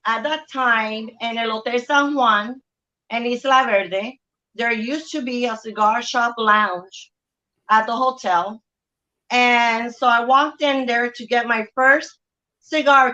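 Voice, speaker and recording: A woman's voice: fairly high pitch, sharp tone. A middle-aged woman with a Puerto Rican accent, speaking casually as an interview guest over a video call. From Puerto Rico. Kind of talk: casual personal recollection. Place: outdoor family courtyard. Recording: dull lo-fi video call audio.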